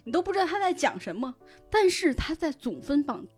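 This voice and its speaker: A woman speaking as a podcast guest in casual chat, explaining things in an animated way with frequent check-in questions. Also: high-pitched, brisk, soft background music. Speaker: guest, novel recommender and editor.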